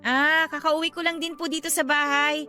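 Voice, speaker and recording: Woman acting weary wife in pinoy radio drama, high clear-cut bright voice, expressive. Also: moderate pace, soft background music. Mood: polite, patient. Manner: polite, expressive. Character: weary, patient wife and mother of a sick child.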